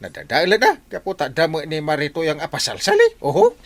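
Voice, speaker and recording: A man's voice: fairly high pitch, wildly swooping pitch, fairly quick, vocal fry, heavily acted. A man acting a fed-up, grumbling village husband in a comedy radio drama, with an Ilocano accent.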